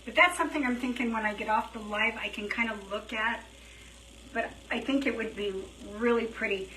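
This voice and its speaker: A fairly high-voiced woman talks her way through a craft project on a livestream, thinking out loud in a loose, chatty way, with a dry, slightly worn throat. She sits a little back from the mic in a roomy-sounding space, with faint hiss behind her. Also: a little fast, distant mic. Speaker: craft live-streamer. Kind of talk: casual live craft stream chat.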